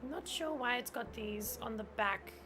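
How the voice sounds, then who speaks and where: A woman's voice: fairly high pitch, moderate pace, noisy background. Chatty German-native woman streamer. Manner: chatty, heavy use of "like", reads on-screen tutorial text aloud. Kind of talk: casual gameplay stream commentary.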